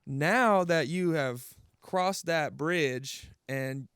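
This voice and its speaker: Upbeat host man, higher smooth voice. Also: drawn-out words, a bit bright, no room sound, studio quality. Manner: laid-back and upbeat, peppers talk with "man". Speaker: interview host. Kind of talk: casual interview chat.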